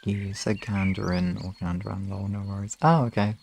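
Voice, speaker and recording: A man chatting casually and softly with his listeners as an ASMR streamer, relaxed and unhurried. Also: fairly low pitch, vocal fry, slight background noise. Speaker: ASMR hypnosis live streamer.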